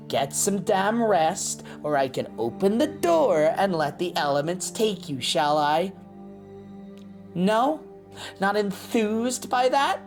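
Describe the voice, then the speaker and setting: Slow, low-voiced teasing mercenary woman, acted out by a woman. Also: full character voice. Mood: stern, menacing. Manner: teasing, sardonic, drawling. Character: cocky, gruff but motherly lycan mercenary outlaw woman. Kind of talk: scripted audio roleplay drama.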